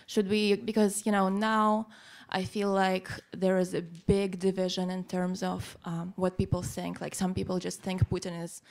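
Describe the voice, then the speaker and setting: Young woman, gravelly. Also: lowish voice, level tone, normal speed, darkish tone. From Russia. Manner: hesitant, searching for words. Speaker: audience member asking a question.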